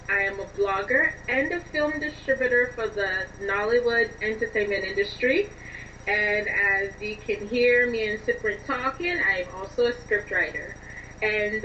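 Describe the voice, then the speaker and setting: A woman answering interview questions conversationally, in an expressive, emphatic way. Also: mid-range voice. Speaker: interview guest, scriptwriter and film blogger. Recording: dull, distant, noisy line.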